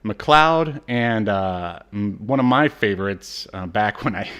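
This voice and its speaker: Male co-host, casual and animated, reads notes conversationally and drops dry jokes. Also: lowish voice, takes it slowly, clean tone. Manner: joking, wry asides. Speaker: podcast co-host. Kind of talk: casual chat, reading episode notes.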